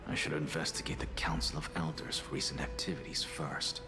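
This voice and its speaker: Deep, haughty heretic scholar. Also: male voice, level tone, normal speed, creaky. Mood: dismissive. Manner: haughty, dry, theatrical. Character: proud, sardonic male heretic scholar, aloof and self-assured. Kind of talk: scripted video game voice acting. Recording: loud game music behind.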